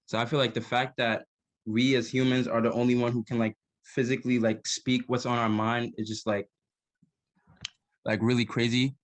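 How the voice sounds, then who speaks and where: A man's voice: medium pitch, little pitch movement, moderate pace, no echo. A young man, a program alumnus, talking casually about a reading with many filler words. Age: young adult.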